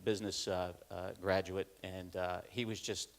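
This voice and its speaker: A man's voice: low-pitched, level tone, normal speed. A middle-aged man from upstate New York giving a conference presentation, telling self-deprecating stories with many fillers and restarts. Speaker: corporate learning speaker. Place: conference room.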